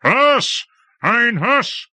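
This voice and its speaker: A man playing an imperious, hot-tempered lord who barks orders loudly. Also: on the high side, animated, brisk, dry recording, voice pushed up, full character voice. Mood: commanding. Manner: barking, shouting orders. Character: imperious, hot-tempered male lord commanding a war machine.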